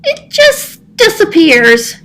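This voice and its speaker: A woman voicing a sulky, excitable male cat for a children's storybook, with a whiny, dramatic delivery. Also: very high-pitched, slow, a little hiss or hum, pitched above the performer's own voice, full character voice. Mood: sly, teasing.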